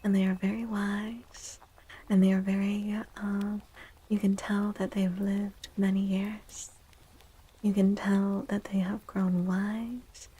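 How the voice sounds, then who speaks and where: A woman speaking softly in a breathy, often whispered ASMR style, gently and soothingly, as she gives a tarot reading. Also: mid-range voice, rough recording. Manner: slow, gentle, soothing, deliberate with long pauses.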